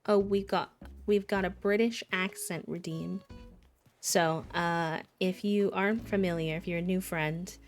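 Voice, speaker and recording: A woman with a low, gravelly voice chats casually on a game stream, greeting viewers by name. Soft music plays faintly under her talk. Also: fairly even intonation, a little slow. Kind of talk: casual livestream chat while gaming.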